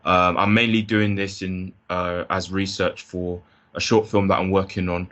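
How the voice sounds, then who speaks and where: A young British man hosting a podcast in a relaxed, casual way, with loose phrasing. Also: low-pitched, moderate pace, dull audio. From Britain. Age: young adult. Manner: relaxed, loose, with fillers and restarts. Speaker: podcast host.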